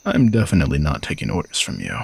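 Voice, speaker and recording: A man's voice: very deep voice, wildly swooping pitch, fast talker, vocal fry, darkish tone, slightly rough, dry recording, full character voice. A man playing an arrogant, sardonic knight who is a reluctant royal bodyguard, teasing and condescending in a romantic audio roleplay. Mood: resigned, bossy. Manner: teasing, dry, exasperated sighs, condescending.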